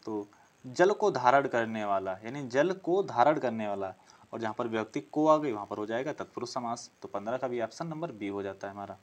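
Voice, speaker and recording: A man's voice: medium pitch, expressive, normal speed. A man teaching an exam-prep class, working through questions, asking them aloud, answering them and repeating key phrases as he explains.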